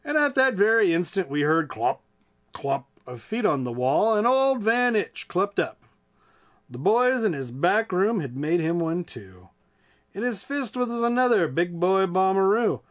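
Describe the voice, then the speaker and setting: A man with an American accent reading to children in an expressive, playful way, with casual asides. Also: high-pitched, unhurried. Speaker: storytime reader.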